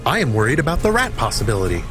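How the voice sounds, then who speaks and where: An actor voicing a polite, anxious artificial companion in a video game, terse and precise with stilted wording. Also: male voice, mid-range voice, huge pitch swings, very fast, loud music behind the voice. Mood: worried.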